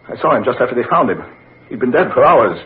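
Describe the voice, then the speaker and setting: A man's voice: mid-range voice, big pitch swings, fast, natural voice. An actor playing a guilt-ridden, terrified man in 1940s radio horror style, agitated and melodramatic. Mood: grave dread. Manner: agitated, melodramatic, repeats himself in dread. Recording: muffled vintage radio recording.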